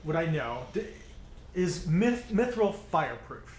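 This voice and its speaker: Man, tabletop player, casual table talk, slightly high voice, echoey room. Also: sing-song, unhurried. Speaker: player in a tabletop role-playing game. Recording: roomy echo with some background noise.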